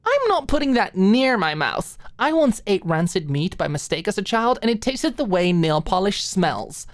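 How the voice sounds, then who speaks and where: Lady doing a giddy tipsy girly young woman for an audiobook, playful n pouty, pitched way up, really dry close sound. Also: big pitch swings, normal speed, no room sound, close to an everyday voice. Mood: playful outrage. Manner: squealing, giggly, pouty. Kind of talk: audiobook character acting.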